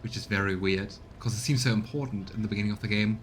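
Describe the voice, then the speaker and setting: A man's voice: lowish voice, steady tone, fast, slight background noise. A man casually commentating on a video game, musing aloud and chatting with his viewers as he plays. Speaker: streamer doing a voiced let's play.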